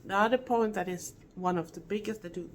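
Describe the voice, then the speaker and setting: A middle-aged woman from Sweden with a Swedish accent, giving an earnest, halting vlog update with self-corrections and non-native phrasing. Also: mid-range voice, slight background noise. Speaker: vlogger. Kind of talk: casual health update vlog.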